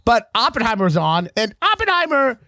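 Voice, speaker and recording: A young man co-hosting a casual movie podcast, talking in an excited, rambling way and laughing often. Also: extremely high pitch, fairly quick, no echo, studio quality. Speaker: podcast co-host.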